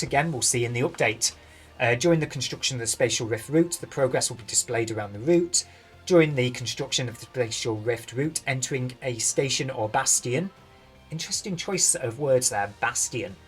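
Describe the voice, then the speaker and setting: Tired British man with a husky voice. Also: mid-range voice. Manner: rambling, thinks aloud, loses his words. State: tired, voice going hoarse. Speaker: gaming YouTuber. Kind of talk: reading patch notes with casual commentary.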